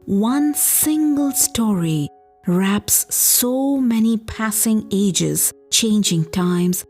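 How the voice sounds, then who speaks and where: A woman podcast host telling folktales, expressive and deliberate, drawing out words for emphasis. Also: medium pitch, soft background music. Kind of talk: expressive folktale storytelling narration.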